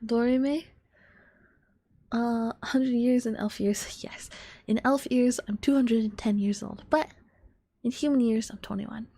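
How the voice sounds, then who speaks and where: A woman of twenty-one chatting to her stream in a soft ASMR whisper, rambling and giggly. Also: a little high, steady tone, unhurried, pauses often, no room sound.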